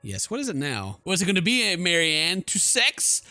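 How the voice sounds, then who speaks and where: A man's voice: sits high, huge pitch swings, normal speed, bright, forceful tone, no echo. A man hosting a game playthrough, reading story text aloud and adding joking, playful asides. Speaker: gaming video host. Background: game music.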